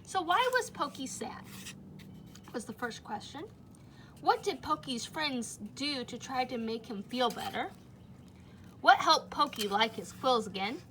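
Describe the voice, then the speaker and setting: A woman's voice: high-pitched, animated, a little slow, pushed and bright, a little hiss or hum. A woman reading a children's storybook aloud like a teacher, with simple explanations and questions for young listeners.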